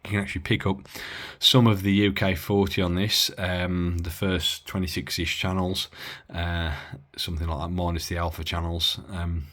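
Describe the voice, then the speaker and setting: A deep-voiced man talks through an old radio he is testing at the bench. His delivery is casual and rambling, full of filler words and mid-sentence corrections. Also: flat delivery, moderate pace, hardly any pauses, fairly bright tone. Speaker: radio hobbyist reviewing gear. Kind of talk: casual bench-test walkthrough.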